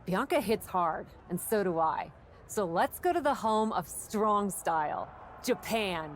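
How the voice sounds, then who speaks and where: A woman's voice: mid-range voice, animated, a little slow, a little hiss or hum, lightly acted. A woman playing a confident, determined indie pro wrestler with a wry, self-assured manner in video game cutscene dialogue.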